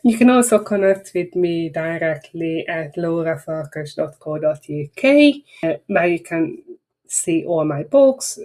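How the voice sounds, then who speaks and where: A woman's voice: low-pitched, expressive, unhurried, darkish tone. A woman with a Hungarian accent, a native Hungarian speaker, closing a marketing webinar in a chatty, rambling, frank way. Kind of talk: casual webinar wrap-up talk.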